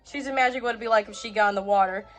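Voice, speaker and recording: A woman's voice: a little high, rapid-fire, a bit bright, faint music behind. A woman narrating a children's story she wrote herself, reading it aloud.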